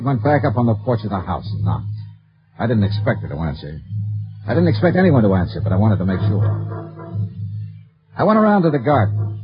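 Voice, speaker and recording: An actor playing a tough, self-assured insurance investigator in a 1950s radio detective drama, with clipped narration and dialogue. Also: male voice, lowish voice. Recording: muffled vintage 1950s radio recording.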